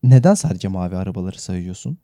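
A man with a deep-leaning, deeply mellow voice co-hosts a relaxed podcast. He jokes along, recorded dry and close, with no room sound. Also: fast, dry recording. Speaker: podcast co-host. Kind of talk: casual, joking podcast chat.

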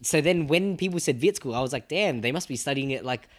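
A man's voice: a little high, animated, fast talker. A young man with an Australian accent in casual podcast chat, swearing freely and acting out quotes as he retells things. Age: young adult. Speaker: podcast co-host.

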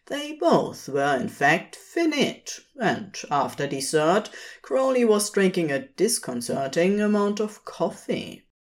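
Female fiction narrator, gentle and expressive. Slow delivery, very varied intonation. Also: low-pitched. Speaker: podfic reader.

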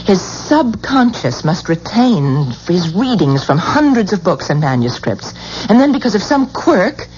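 An actress playing a proud, disbelieving wife in a 1970s radio drama, emphatic and theatrical. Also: female voice, medium pitch, sing-song, measured pace, a little mellow. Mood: scornful, disbelieving. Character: proud, disbelieving wife fiercely defending her husband. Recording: dull, noisy 1970s radio recording.

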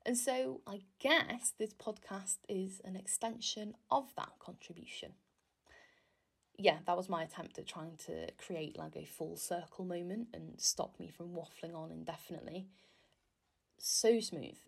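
A woman's voice: on the low side, fairly even intonation, measured pace, gravelly, husky. A young woman from Wales, whose first language is Welsh, hosting a casual solo podcast in a chatty, self-deprecating, rambling way. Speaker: podcast host.

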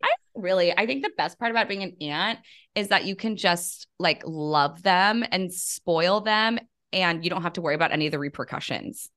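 A bubbly young woman in casual podcast chat, quick to laugh and to toss in an offhand curse. Her voice sits a little low. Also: fairly bright tone. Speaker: podcast co-host.

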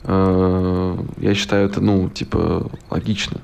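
Gravelly-voiced man rambling in blunt chat. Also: low-pitched, level tone, unhurried, darkish tone. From Russia. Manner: rambling, full of fillers and restarts; blunt and profane. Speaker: podcast guest. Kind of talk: casual podcast chat.

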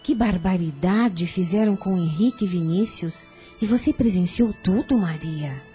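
A kindly, maternal aunt in a radio drama, played by an actress. Her voice is lowish and mellow, composed and gentle, with faint music behind. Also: a little slow, lightly acted. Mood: shocked. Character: kind, hospitable older aunt, composed and welcoming.

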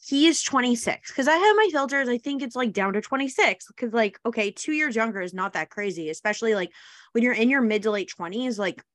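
A woman's voice: on the high side, expressive, fairly bright tone, dry recording. Bubbly woman podcast host, dry sound. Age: 20s. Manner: bubbly, quick laughs, many fillers. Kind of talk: casual chat.

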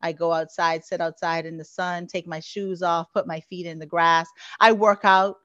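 A woman's voice: on the low side, steady tone, normal speed, a bit bright, no echo, lo-fi sound. A woman from the Bahamas, a guest coach answering interview questions in an earnest, flowing way with many "you know" fillers.

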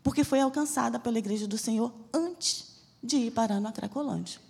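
A Brazilian woman from Salvador preaches with fervent emphasis, her Bahian accent softened by a Rio lilt. Her voice sits a little high and sounds husky. Long church-hall reverb trails behind every stressed phrase. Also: a little fast. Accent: Bahian Portuguese mixed with Rio de Janeiro. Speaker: missionary preacher. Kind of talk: church sermon.

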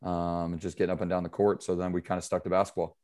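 A relaxed man from upstate New York chats as a guest on a basketball podcast, his voice sitting a little low. He talks loosely, leaning on fillers and easy asides. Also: quick pace. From upstate New York, USA. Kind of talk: casual interview chat.

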